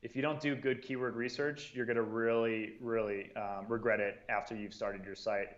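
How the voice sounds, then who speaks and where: A man in a casual podcast discussion, handing out advice in a relaxed way with frequent "um"s and "you know"s. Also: lowish voice, normal speed, lo-fi sound. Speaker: guest, marketing and sales coordinator.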